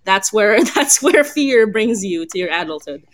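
A woman's voice: mid-range voice, animated, unhurried. Giggly, enthusiastic woman podcast host. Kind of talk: casual group chat on a live podcast.

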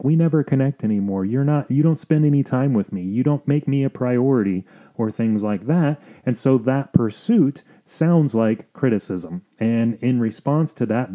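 A man hosting an advice podcast, explaining things in a measured, sometimes halting way. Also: medium pitch, warm tone. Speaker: podcast host.

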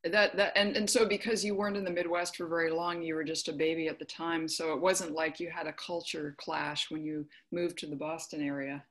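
A woman's voice: sits low, little pitch movement, brisk, hardly any pauses, fairly bright tone. A woman hosting an interview, encouraging her guest, affirming often and laughing easily. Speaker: interview host. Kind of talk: conversational interview.

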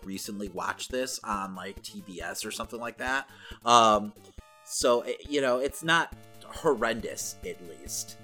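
A man's voice: mid-range voice, bright, forceful tone. A man co-hosting a podcast, chatting informally like a longtime fan. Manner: informal, nostalgic fan talk. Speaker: podcast co-host. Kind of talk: casual chat.

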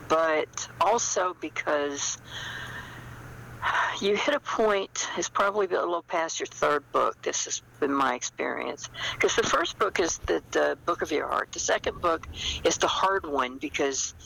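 Older lady guest chatting, crisp bright edge w lots of creak, thinks out loud. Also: sits low, expressive, a little slow, rough recording. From small-town United States. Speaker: guest, novelist. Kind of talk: casual interview chat.

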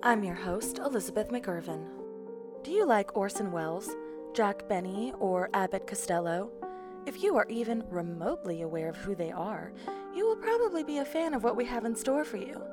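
A woman's voice: medium pitch, very animated, normal speed. Woman podcast host over prominent music. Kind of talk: scripted podcast introduction. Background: music bed under the voice.

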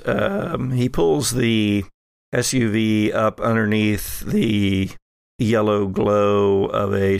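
A man narrating improvised scenes as game master, in a measured, descriptive way with pauses. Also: on the low side, creaky, no echo, studio quality. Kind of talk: improvised scene narration for actual-play podcast.

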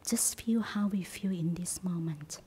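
Breathy, near-whispering woman guiding meditation. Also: lowish voice. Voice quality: soft, breathy, husky, often near whisper. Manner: gentle, soothing, unhurried instruction with long pauses. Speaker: meditation teacher. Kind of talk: guided meditation.

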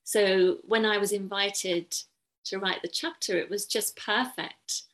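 A middle-aged woman, speaking thoughtfully and earnestly as an interview guest, with measured pauses. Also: medium pitch, steady tone, a bit bright. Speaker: podcast guest, therapist and coach.

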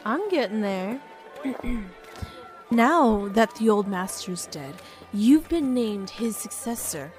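Cast: chatty upbeat woman sidekick, played by a woman, slightly high, slow unhurried lines, faint music behind. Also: lively intonation, a little mellow. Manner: explanatory, teasing, upbeat. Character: chatty, cheerful female companion of the swordsman.

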